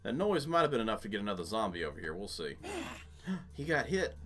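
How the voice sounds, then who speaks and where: A man's voice: lowish voice, big pitch swings, quick pace, fairly bright tone. A man giving casual, jokey let's play commentary, muttering to himself as he goes, as the host of a gaming channel.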